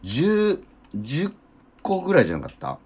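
A man's voice: on the high side, huge pitch swings, normal speed, a little mellow, breathy edge. A man hosting a casual radio chat, agreeable, often chiming in and asking follow-up questions. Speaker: radio show host and actor.

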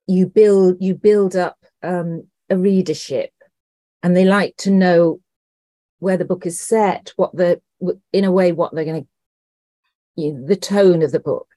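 Slow, halting woman, lowish voice. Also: mellow, dry recording. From Liverpool, England. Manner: thoughtful, halting, searching for words. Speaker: interview guest, writer and actress. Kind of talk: conversational interview answers.

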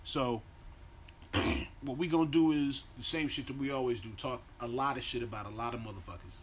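An American man co-hosting a podcast, telling stories in a casual, slangy way with plenty of swearing. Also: brisk. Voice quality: deep-voiced by own claim. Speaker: podcast co-host. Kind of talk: casual profane banter and animated storytelling. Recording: faint background noise.

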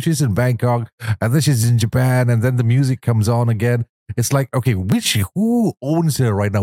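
A man's voice: medium pitch, darkish tone, dry recording, clean studio sound. A man hosting a talk show in a casual, effusive, complimentary way, chatting as an interviewer.

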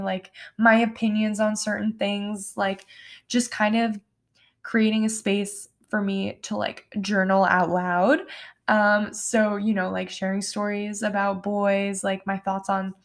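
A woman's voice: mid-range voice, flat delivery, a little slow. A woman in her twenties from New York, hosting her own podcast late at night in a chatty, rambling way with many "like" fillers and no regional accent. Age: 20s. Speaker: podcast host. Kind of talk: casual solo podcast chat. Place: home at night.

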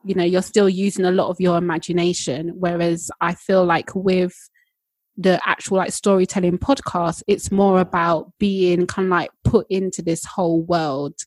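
Chatty woman with a slightly husky voice. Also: fairly low pitch, little pitch movement, moderate pace, a little mellow, dry recording. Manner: chatty, many fillers. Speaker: podcast co-host. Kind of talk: casual chat.

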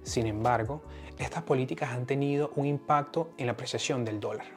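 A man's voice: mid-range voice, brisk, faint music behind. A man with a Venezuelan accent hosting an explanatory podcast in a partly scripted monologue. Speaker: podcast host.

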